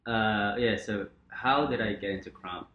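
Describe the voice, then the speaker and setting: A young man who grew up in the Philippines, a dancer chatting as a podcast guest, relaxed and rambling with lots of fillers. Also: on the low side, a little slow, lo-fi sound, a little hiss or hum. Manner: relaxed, rambling, many fillers and quoted asides. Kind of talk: casual interview chat. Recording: roomy echo.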